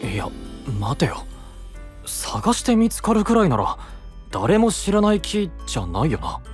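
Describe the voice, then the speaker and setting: Blunt scoldy boy knight, animated pitch, rough boyish anime talk, music behind. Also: a little slow. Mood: exasperated. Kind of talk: Japanese anime-style voice drama acting.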